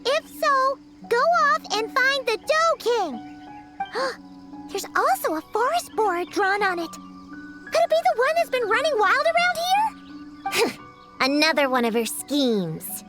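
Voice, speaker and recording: A woman voicing a small, chirpy, boastful female fairy companion who talks in an excitable, self-important way. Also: very high voice, slow, exaggerated voice. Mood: curious. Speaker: game character. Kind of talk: game dialogue voice acting. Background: game music.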